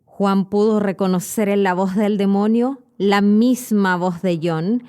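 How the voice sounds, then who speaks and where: A woman with a Chilean Spanish accent, a podcast host and Spanish teacher, narrating a horror story in a clear, measured way. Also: mid-range voice, steady tone, mellow.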